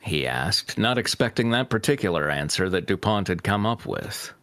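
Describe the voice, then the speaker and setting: A man's voice: sits low, sing-song, normal speed, fairly bright tone, no echo. A man reading fanfiction aloud as a host, breaking off for joking banter.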